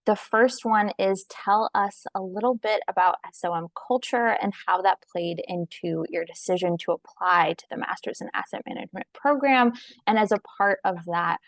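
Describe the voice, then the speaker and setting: A woman's voice: mid-range voice, moderate pace, no echo. Woman hosting a panel, dry close sound. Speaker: panel host. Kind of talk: friendly structured moderating of a student panel. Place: indoors on a video call. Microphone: video call microphone.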